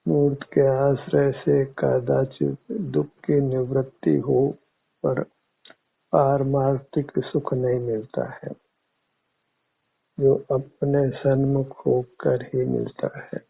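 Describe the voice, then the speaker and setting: A man teaching guided meditation in measured, formal, instructive words. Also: mid-range voice, little pitch movement, gravelly, husky. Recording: muffled phone-like narrow-band audio.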